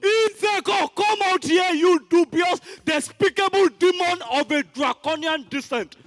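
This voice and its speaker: A man's voice: extremely high pitch, measured pace, fairly bright tone, in a large hall, exaggerated voice. A man with a Nigerian accent playing a defiant, indignant rival for a throne in a declamatory stage play, grandiloquent and bombastic. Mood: outraged. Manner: grandiloquent, alliterative insults.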